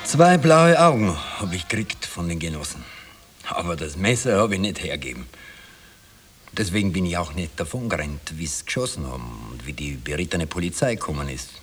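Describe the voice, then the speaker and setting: A man's voice: deep voice, very animated, fairly quick, a little hiss or hum. An actor playing a wry Viennese man who tells of his youth in ironic, colloquial radio drama narration. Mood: wry reminiscing.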